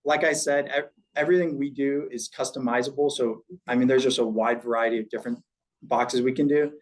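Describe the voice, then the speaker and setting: A man's voice: medium pitch, fairly even intonation, fairly quick. Casual man showing packaging samples. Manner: casual, loose, with many fillers and restarts; talks while showing examples. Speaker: packaging company representative. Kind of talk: live panel presentation. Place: event room with an audience.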